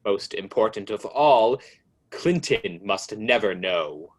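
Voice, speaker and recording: A man playing an ominous, all-knowing narrator of a radio mystery, speaking in a deliberate, insinuating way as he taunts a guilty woman. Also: lowish voice, lively intonation.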